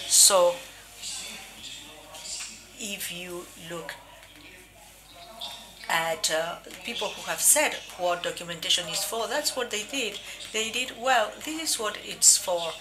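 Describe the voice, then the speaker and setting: Bright, forceful woman interpreting live. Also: fairly low pitch, rough recording. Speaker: simultaneous interpreter. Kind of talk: live interpretation of a conference keynote.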